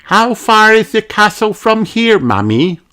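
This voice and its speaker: A man's voice: sits high, very animated, unhurried, sharp tone, voice pushed up, exaggerated voice. A man voicing a cheerful, curious little girl piglet in a storybook reading. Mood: curious, questioning.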